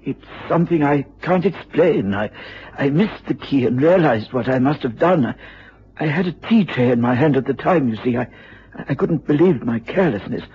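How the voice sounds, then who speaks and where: An actor playing a nervous, apologetic male manservant in a radio drama, deferential and halting, stammering over his words. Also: medium pitch, expressive, a little hiss or hum, close to an everyday voice. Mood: shaken, apologetic. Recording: muffled radio drama recording.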